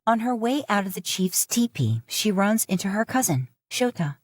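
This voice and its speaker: A woman reading a fanfiction audiobook in a steady, measured storytelling manner. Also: mid-range voice, no echo, studio quality. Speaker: audiobook narrator.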